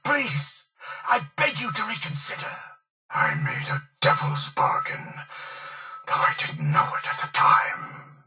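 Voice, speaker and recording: Proud tormented old magnate, video game drama, sharp forceful tone, highly animated pitch, bitter and grandiose, slightly distant. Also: male voice, slow, creaky, soft background music, full character voice. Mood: desperate pleading. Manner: theatrical, bitter, self-pitying and grandiose. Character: anguished, proud old tycoon, a head kept alive by machine.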